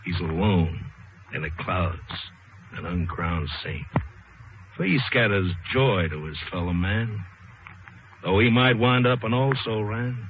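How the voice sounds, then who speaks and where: An actor narrating as a hard-boiled, romantic jazz-age balladeer, reciting rhyming slang verse rhythmically in an old radio drama. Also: male voice, fairly low pitch, expressive, takes it slowly, noisy background. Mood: dramatic, wistful. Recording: muffled vintage radio, loud music behind.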